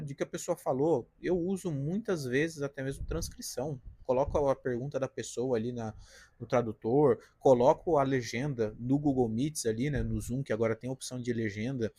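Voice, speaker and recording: Husky-voiced Brazilian man with a Paulista accent. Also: medium pitch, a little mellow, no echo, studio quality. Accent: Paulista, from the interior of São Paulo. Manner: conversational, direct, frequent "né?" and "tá?" tags. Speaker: career vlogger, designer. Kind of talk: casual advice vlog to camera. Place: apartment balcony.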